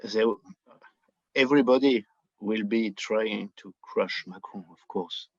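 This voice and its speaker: A Frenchman talks slowly in French-accented English, feeling for his words as he goes. His speech is conversational commentary from a podcast guest, and the sound is dull and lo-fi. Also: on the low side, a little mellow. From France. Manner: halting, searching for words in non-native English. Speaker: podcast guest, book editor. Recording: dull, roomy, lo-fi remote connection.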